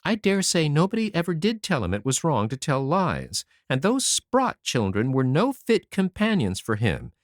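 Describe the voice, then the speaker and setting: Reader as an older woman, stern, fair, matter-of-fact, measured, dry close sound. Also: mid-range voice, huge pitch swings, dry recording, pitched above the performer's own voice. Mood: practical.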